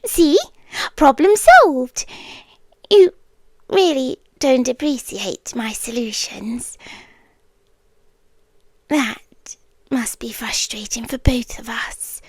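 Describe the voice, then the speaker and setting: A British woman playing a possessive, centuries-old nonhuman girlfriend in an intimate ASMR roleplay, speaking softly, half in whispers, with wry courtesy. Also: sits high, no room sound, full character voice. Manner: soft, breathy, half-whispered, deliberate, wryly courteous.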